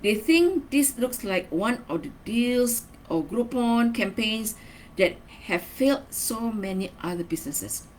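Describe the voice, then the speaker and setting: A woman's voice: on the high side, expressive, unhurried, a little hiss or hum, low-quality recording. A woman giving a semi-scripted training lesson as a marketing trainer, instructional, stressing key words and checking in with short tag questions.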